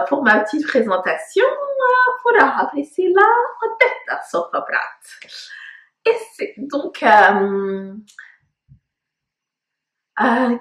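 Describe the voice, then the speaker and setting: Slow, lively-pitched woman in her thirties. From Congo. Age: 30s. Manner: hesitant, many fillers, reflective. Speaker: vlogger. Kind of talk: casual personal vlog talk. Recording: echoey room.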